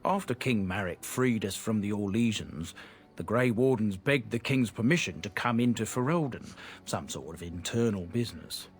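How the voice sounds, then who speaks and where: A man's voice: lowish voice, lively intonation, normal speed, faint music behind, lightly acted. An actor playing a nervous, chatty older trader in a game, telling his story in a folksy, rambling way. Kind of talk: game dialogue.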